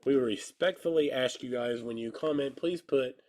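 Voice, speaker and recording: A man in his thirties with a stuffy nose and slightly hoarse voice, chatting casually and joking while he hosts a live card break. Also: medium pitch, moderate pace, darkish tone. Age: 30s. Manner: rambling, joking, calls out card pulls. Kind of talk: casual live-stream chat while opening cards.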